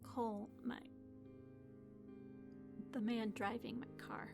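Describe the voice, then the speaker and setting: High-voiced, shaken young patient. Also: female voice, little pitch movement, takes it slowly, over music. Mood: weak, frightened. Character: dying young woman hospital patient, defiant, shaken narrator. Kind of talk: audio drama acting with first-person narration.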